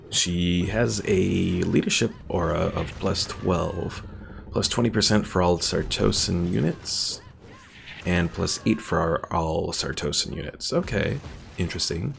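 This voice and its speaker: A man's voice: sits low, vocal fry, a little hiss or hum. A young man giving easygoing, chatty live commentary as he streams a game. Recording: faint music behind the voice.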